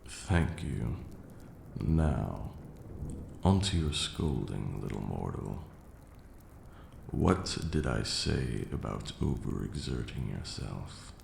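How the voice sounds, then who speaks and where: A man's voice: very deep voice, lively intonation, slow pace, vocal fry, darkish tone, roomy sound, slight background noise, full character voice. A man playing a haughty but caring vampire lord in an ASMR roleplay, speaking formally and sternly. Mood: gently scolding.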